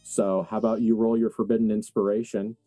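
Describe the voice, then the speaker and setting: A man acting as game master, narrating a tabletop horror roleplaying game in deliberate, halting phrases. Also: fairly low pitch, little pitch movement, mellow, dry recording, faint music behind.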